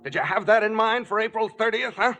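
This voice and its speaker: Tense, hard-boiled killer, sharp-voiced. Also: male voice, high-pitched, brisk, a little hiss or hum. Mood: threatening. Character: tense, suspicious, sleepless male killer on edge. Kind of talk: 1940s radio acting.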